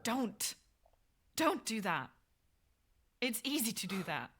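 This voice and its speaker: An actress playing a guarded, wounded woman survivor in an audio drama, speaking in a blunt, weary, halting way. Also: a little high, very animated, a bit bright, breathy edge, heavily acted. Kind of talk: naturalistic audio drama acting.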